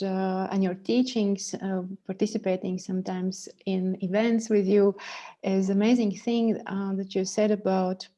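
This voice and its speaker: A woman's voice: mid-range voice, dark tone, dull audio. A woman hosting an interview over a video call, speaking hesitantly with many fillers. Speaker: interview host. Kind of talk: interview, casual chat.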